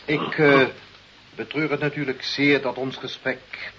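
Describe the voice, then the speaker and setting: Radio drama role: haughty, scheming official, tense slightly high voice, dull old recording. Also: male voice, flat delivery, takes it slowly, pushed and bright, slight background noise. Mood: stern, haughty. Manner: officious, condescending. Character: stern, officious, scheming director, an authority figure. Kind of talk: radio play acting.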